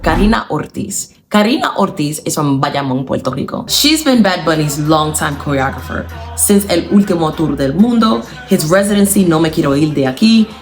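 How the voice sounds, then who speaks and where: Casual slangy woman with a low voice. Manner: casual slangy delivery, punchy lists. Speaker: dancer and commentator. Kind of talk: scripted video explainer.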